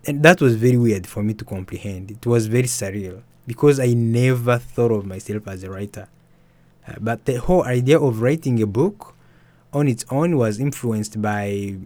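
A young man, an author and musician, telling his story softly and hesitantly in an interview. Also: lowish voice, normal speed, no room sound. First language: Swahili. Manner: soft-spoken, hesitant with restarts and fillers. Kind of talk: reflective personal storytelling.

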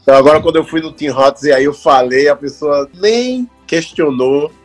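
A Brazilian man in his thirties telling stories in a casual chat, animated, laughing often and mimicking other voices. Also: a little high, fairly quick, soft background music. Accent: Brazilian Portuguese. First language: Portuguese. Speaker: actor working as an extra.

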